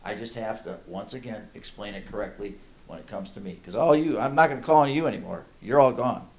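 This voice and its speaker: A man's voice: on the low side, a little fast, a little mellow. A man at a public meeting, speaking bluntly and with joking complaints.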